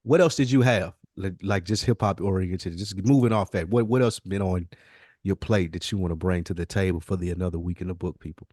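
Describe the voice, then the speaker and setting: A man talking as a podcast host in casual, slangy, profane and animated hip hop conversation. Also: deep voice, brisk, no echo, clean studio sound.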